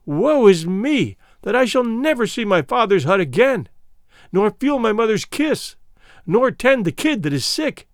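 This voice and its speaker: A man's voice: high-pitched, wildly swooping pitch, slow, no echo, studio quality, exaggerated voice. Man doing a trembling young woman's plea. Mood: pleading. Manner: trembling, lamenting. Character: young terrified woman pleading for life.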